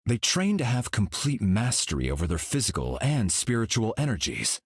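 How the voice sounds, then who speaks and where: Solemn cosmic hero for a game, super low voice, husky, rises and falls a lot. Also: male voice, dry recording, studio quality, full character voice. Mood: serene. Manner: calm, measured, grave. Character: serene cosmic golden male hero, solemn. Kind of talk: video game voice lines.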